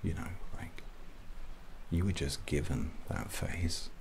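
A man speaking softly and often whispering in a halting, deadpan way, as a gentle, odd street photographer in an ASMR roleplay. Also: deep voice. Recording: close recording with clear background noise.

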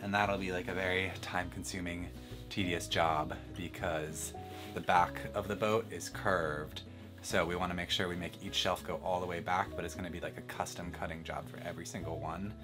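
Very low male voice, relaxed, explains plans with lots of "like"s, guitar music behind. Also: normal speed. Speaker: vlog co-host. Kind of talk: casual explaining. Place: on a sailboat under refit. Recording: loud guitar music underneath.

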